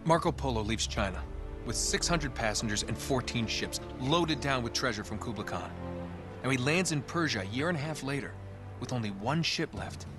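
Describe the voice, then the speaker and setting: A man's voice: medium pitch, expressive, moderate pace, lightly acted. Guy voicing a wisecracking american treasure hunter in a video game, voice jumps around a lot, music behind it. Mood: absorbed, explaining. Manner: sarcastic, casual. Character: wisecracking, wary, quick-witted male treasure hunter in his thirties. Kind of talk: cinematic video game acting. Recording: music under the dialogue. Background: background music.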